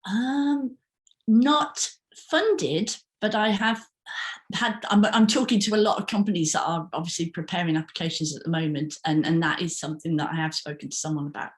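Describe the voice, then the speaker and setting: A woman's voice: on the low side, normal speed. A woman answering audience questions in an online workshop, careful, with many ums and rephrasings. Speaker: invited speaker.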